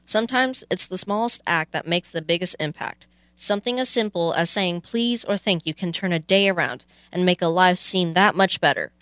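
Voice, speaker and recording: A woman's voice: lowish voice, a bit bright, clean tone, dry recording. A woman presenting on a youth radio show, reading scripted narration.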